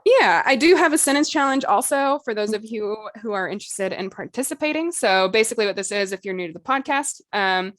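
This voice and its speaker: A woman's voice: on the high side, lively intonation, brisk, few pauses, fairly bright tone, no room sound. A woman co-hosting a casual podcast discussion, talking in a conversational, loosely hedged way with "like" and "you know" sprinkled in. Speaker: podcast co-host.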